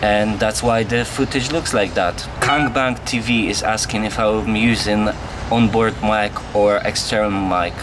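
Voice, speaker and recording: A man's voice: fairly low pitch, fairly even intonation, a little slow, noisy background. A young man from Poland with a Polish accent, casually answering viewer questions in a vlog. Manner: plain, earnest, answers viewer questions one by one. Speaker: vlogger. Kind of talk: casual Q&A to camera. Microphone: on-camera mic.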